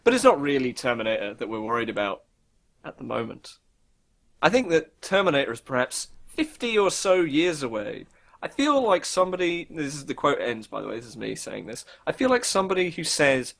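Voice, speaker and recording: A man's voice: mid-range voice, vocal fry, fairly bright tone. A man co-hosting a show, making wry, mocking comments in casual comedic chat. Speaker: co-host of a weekly show.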